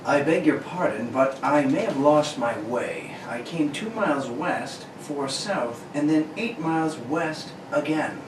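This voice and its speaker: A courteous, curious secret-agent hero, played by a man, high and animated, narrating his discoveries like an old radio serial. The recording is roomy, distant and noisy. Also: unhurried, few pauses. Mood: curious, assured. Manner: courteous, narrates what he sees aloud. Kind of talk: amateur read of a 1940 radio serial. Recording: echoey room, distant mic, clear noise.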